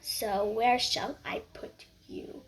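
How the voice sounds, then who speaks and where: A woman voicing an ancient magical talking hat that murmurs softly and slyly into a listener's ear. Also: on the high side, fairly even intonation, slow pace. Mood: hushed musing. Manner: hushed, murmuring close in the ear.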